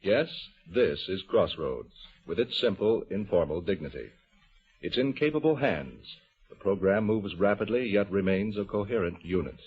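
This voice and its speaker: A man reading a scripted mid-century radio sales pitch as an announcer, formal and declarative. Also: expressive, moderate pace, husky. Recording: muffled old radio recording.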